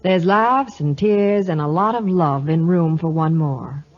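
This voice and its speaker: A woman's voice: on the low side, unhurried. A woman presenting a scripted 1950s radio advertisement in dialogue style. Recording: muffled old radio broadcast recording.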